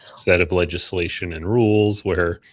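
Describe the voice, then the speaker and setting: A man's voice: low-pitched, steady tone, normal speed. An American man hosting a casual interview, talking in an informal, joking way. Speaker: podcast host.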